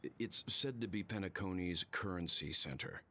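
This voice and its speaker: A man voicing a calm, courteous, learned traveling companion in a video game, speaking politely. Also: deep voice, moderate pace, a little hiss or hum. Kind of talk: scripted video game dialogue. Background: loud music.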